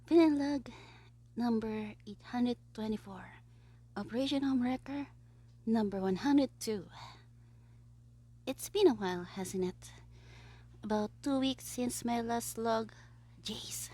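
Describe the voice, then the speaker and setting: Mischievous young villain, very high voice, unhurried, theatrical, lovestruck schemer, audio drama monologue. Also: female voice, heavily acted. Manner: gleeful, swinging between exclamations and hushed asides.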